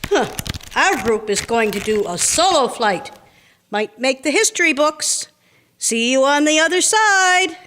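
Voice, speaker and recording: A woman playing a doubtful, sassy beetle in a radio drama, with a dry, deliberate delivery. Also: high-pitched, expressive, a bit bright. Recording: clearly noisy background.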